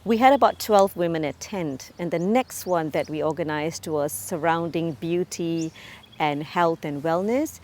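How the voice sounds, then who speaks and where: A woman in her late thirties from Malaysia, speaking as a community cultural ambassador in a profile interview, measured and earnest with frequent ums and uhs. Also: fairly low pitch, darkish tone.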